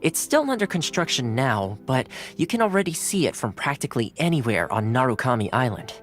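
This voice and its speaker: A man's voice: mid-range voice, sing-song, fairly quick, a bit bright. A man voicing a friendly young man who speaks through a dog, teasing at first and then earnest. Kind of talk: video game dialogue. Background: game music.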